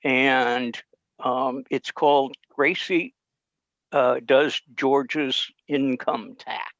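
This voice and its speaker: A man's voice: mid-range voice, steady tone, extremely slow, creaky, a bit bright, no echo. An older man giving an informal explanatory talk as a presenter over a video call. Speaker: presenter of old-time radio session. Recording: dull video-call audio.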